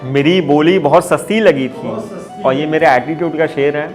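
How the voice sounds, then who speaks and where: Male poet, slightly high voice, declaiming ghazal couplets live, repeating lines with growing force, clear instrumental backing. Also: normal speed, music in the background. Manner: declaiming, repeats each couplet with rising emphasis. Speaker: poet at an open mic. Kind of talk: ghazal recitation. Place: open mic stage in Mumbai. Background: musical instrument playing along.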